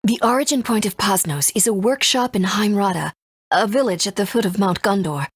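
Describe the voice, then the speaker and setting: A woman voicing a gentle, earnest mother and resistance fighter in a video game, soft and breathy, speaking in a measured, tender way. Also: mid-range voice, dry recording, clean studio sound. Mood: earnest, solemn. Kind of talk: English video game voice acting.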